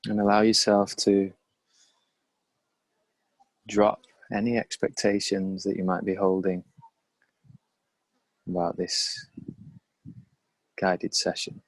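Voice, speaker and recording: Man, low voice, flat intonation, calm, unhurried guided meditation, measured phrases with pauses. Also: no room sound, low-quality recording. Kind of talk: guided meditation, slow and pausing.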